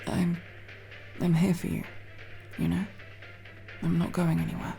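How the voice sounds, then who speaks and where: A young woman playing a guarded but tender tomboy friend in an ASMR roleplay, speaking intimately and haltingly, with sincere, teasing, casually sweary delivery. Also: fairly low pitch, completely flat delivery, gravelly, mellow, faint music behind. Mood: gentle concern. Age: young adult. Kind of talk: ASMR roleplay, intimate one-sided dialogue.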